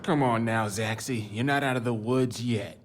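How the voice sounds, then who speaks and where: A man's voice: mid-range voice, moderate pace, natural voice. A man playing a flamboyant broker who calls out in a mocking, sing-song way in an audio drama. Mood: mocking, playful. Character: taunting, sing-song broker in anime cosplay.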